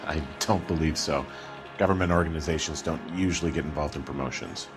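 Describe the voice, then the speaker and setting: Game casting: 1940s promotions businessman, American, low register, polite, weary, a touch defensive. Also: brisk. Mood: matter-of-fact. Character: obliging, slightly weary male 1940s travel promotions businessman. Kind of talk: cinematic video game acting. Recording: music behind the voice.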